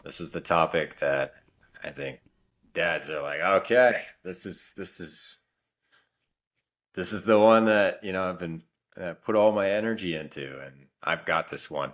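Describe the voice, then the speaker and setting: Very deep-voiced man, relaxed. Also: a little slow. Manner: relaxed, rambling with restarts. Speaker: podcast co-host. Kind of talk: casual podcast chat.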